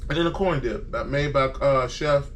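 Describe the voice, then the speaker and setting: A man hosting a casual podcast, telling a story in an animated, defensive, joking way. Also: medium pitch, normal speed. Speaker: podcast host. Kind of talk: casual group chat, storytelling. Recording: distant mic, faint room noise.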